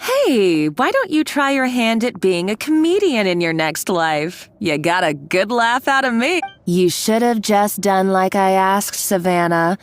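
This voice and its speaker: A woman's voice: mid-range voice, animated, measured pace, lightly acted. A woman voicing a mocking, unhinged villainess in a video game, with theatrical taunting and a tendency to cackle. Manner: theatrical, taunting, bursts of laughter. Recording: music behind the voice. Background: music.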